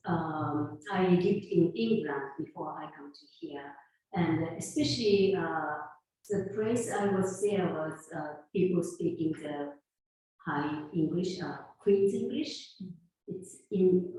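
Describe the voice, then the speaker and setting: Japanese-accented woman, 50s, slow and halting, low-ish voice, roomy echo, off-mic. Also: far from the mic. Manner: unhurried, halting, soft laughs between thoughts. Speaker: guest, sound healer. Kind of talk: conversational interview.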